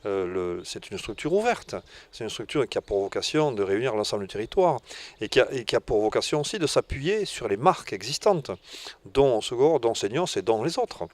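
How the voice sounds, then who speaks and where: A man speaking in an interview as a director, halting and repeating his words. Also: mid-range voice, sing-song, darkish tone. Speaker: tourist office director. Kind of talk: spoken interview answers.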